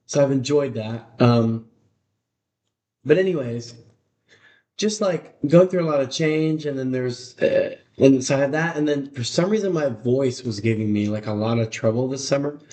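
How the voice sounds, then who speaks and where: Raspy male musician guest, rambling casual chat, mid pitch, roomy echo. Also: normal speed, warm tone. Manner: rambling, chatty, many fillers.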